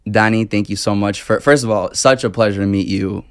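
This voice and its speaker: A man's voice: on the low side, fairly even intonation, fairly quick, clean tone, no room sound, clean studio sound. A man hosting a casual interview podcast, asking curious questions with hesitant restarts. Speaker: podcast host.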